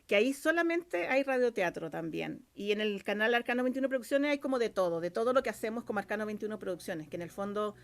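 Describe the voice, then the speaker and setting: A woman's voice: medium pitch, expressive, fast talker, gravelly, hardly any pauses. A woman with a Chilean accent speaking as an interview guest in casual, informal chat, often repeating her words. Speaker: interview guest, radio drama writer and producer.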